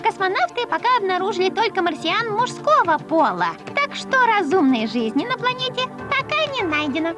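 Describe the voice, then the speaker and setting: A woman voicing a comic character in an animated sketch show. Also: extremely high pitch, a little fast, hardly any pauses, music in the background.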